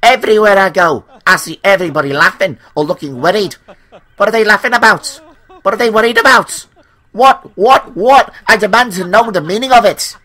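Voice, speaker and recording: A man's voice: high voice, a little fast, sharp tone, pitched above the performer's own voice. A man voicing a vain, easily panicked and indignant steam engine in a children's story, with music behind. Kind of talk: children's storybook character acting.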